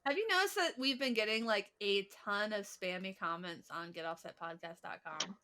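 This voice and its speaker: A woman co-hosting a podcast in casual chat, teasing her co-host and talking quickly. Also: medium pitch, bright, forceful tone, slight background noise.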